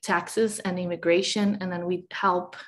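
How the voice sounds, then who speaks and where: A woman's voice: on the low side, flat delivery, a little slow. A woman from Portugal, introducing herself as a chapter president in an online meeting. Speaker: chapter president and agency owner. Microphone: video call.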